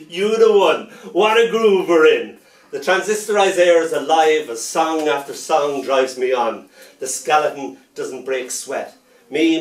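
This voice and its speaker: Man reading poems, far off. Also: on the high side. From Ireland. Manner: rhythmic, playful reading, slowing to gentle lullaby lines. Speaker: poet. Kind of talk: poetry reading with anecdotes. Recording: roomy sound from a distant mic.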